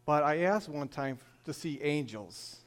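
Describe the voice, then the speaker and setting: A man's voice: a little high, lively intonation, a little slow. Earnest older man with a Michigan accent. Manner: earnest, storytelling, with frequent fillers. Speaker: church testimony speaker. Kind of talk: personal testimony.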